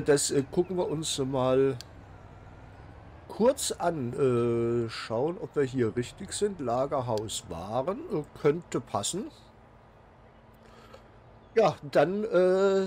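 A man's voice: a little high, a little mellow, slight background noise. A man with a cold, hosting a casual let's play and talking along with many fillers and restarts. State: has a cold. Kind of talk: casual game commentary.

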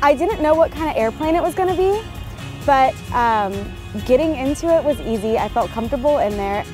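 A woman recounting an experience as a passenger in a casual, chatty, excited way. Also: high-pitched, fairly quick. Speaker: first-time small-plane passenger. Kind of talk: casual recollection to camera. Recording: music under the voice. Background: music.

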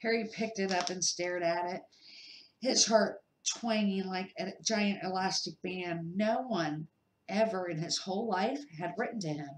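A woman's voice: lowish voice, measured pace, slight background noise. Middle-aged woman reading aloud, echoey room. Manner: careful, stumbling read-aloud. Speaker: amateur storybook reader. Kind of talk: reading a children's novel aloud. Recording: echoey, roomy sound.

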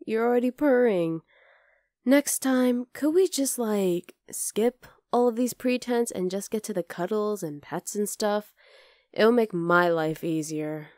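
A woman's voice: mid-range voice, measured pace, darkish tone, no echo, clean studio sound, natural voice. A woman voicing a teasing, affectionate young wolf-girl girlfriend in an ASMR roleplay, casual and exasperated but tender. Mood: fond, teasing. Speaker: voice actor in audio roleplay. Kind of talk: ASMR roleplay, acted one-sided dialogue.

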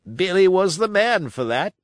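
A man's voice: high voice, very animated, measured pace, no room sound, clean studio sound. Israel Hands, a man as a growling pirate coxswain. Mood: gruff, defiant. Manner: growling, grumbling rough sailor speech.

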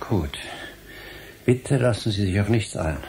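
An actor playing a troubled middle-aged history professor in a German radio play, with formal, intense, emotional delivery and a gravelly voice. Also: male voice, lowish voice, animated, unhurried, darkish tone, a little hiss or hum. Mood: contrite, anxious.

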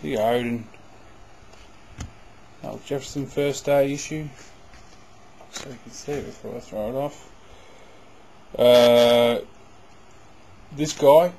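Man, casual card-break commentary, mid-pitched, excitable, faint hiss. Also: slow, creaky, pauses often, low-quality recording. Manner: excitable, bursts loud on big pulls. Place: indoors at a table.

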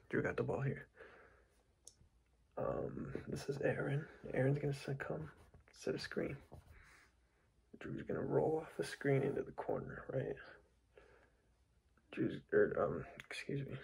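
A young man doing an ASMR basketball coach roleplay in a hushed, breathy, husky whisper, repeating himself as he addresses his team. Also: mid-range voice, expressive, measured pace, pauses often. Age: young adult. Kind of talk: ASMR roleplay pep talk and play explanation. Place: small dark room indoors.

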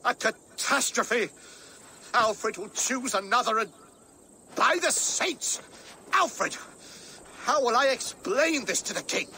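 High, sharp, anxious old abbot. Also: male voice, expressive, slow pace, long pauses, a little hiss or hum, full character voice, poor audio. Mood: flustered. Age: older. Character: pious, anxious older abbot, easily flustered. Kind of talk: dramatic video game acting.

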